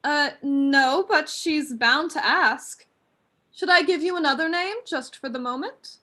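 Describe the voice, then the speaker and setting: A woman's voice: high voice, a little slow, pushed and bright. A young woman acting a chatty, breezy daughter of a country house in a staged play reading. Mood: chatty, playful. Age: young adult. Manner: breezy, gossipy.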